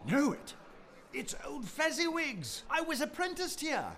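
An actor playing a sour, curt old miser in a radio play. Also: male voice, extremely high pitch, very animated, measured pace, pushed and bright, slight background noise, full character voice. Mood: wistful delight. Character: sour old miser revisiting his past.

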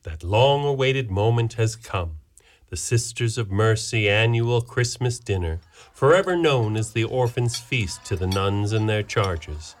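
A man narrating a community radio play in a measured storytelling delivery. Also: lowish voice, expressive.

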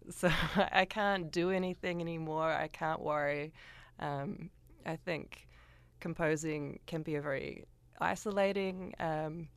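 Woman composer chatting on radio, low voice with some fry, lots of ums. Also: steady tone, unhurried, no room sound. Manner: thoughtful, hesitant with frequent ums. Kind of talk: casual radio interview.

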